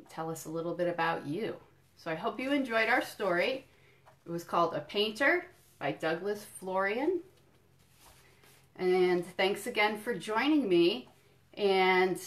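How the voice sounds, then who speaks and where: A woman doing a children's storytime, reading a picture book in deliberate phrases and explaining things simply to young listeners. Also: on the low side. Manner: addresses young listeners directly with questions and encouragement. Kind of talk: children's storytime read-aloud with friendly asides.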